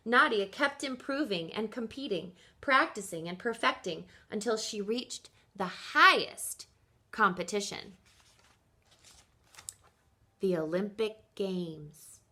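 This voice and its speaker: Lady teacher reading a picture book to kids, slow n deliberate, bright forward voice, lots of emphasis. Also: mid-range voice. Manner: deliberate, storytelling emphasis for young listeners. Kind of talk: expressive children's picture-book read-aloud.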